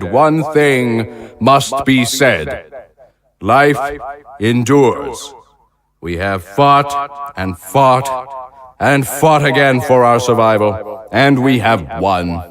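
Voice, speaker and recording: An actor playing a proud, militaristic consul, delivering theatrical political oratory in a declamatory, stately way. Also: male voice, mid-range voice. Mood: solemn, aggrieved. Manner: declamatory, rhetorical, stately emphasis. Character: proud, militaristic male consul rallying his troops, grandiose and defiant.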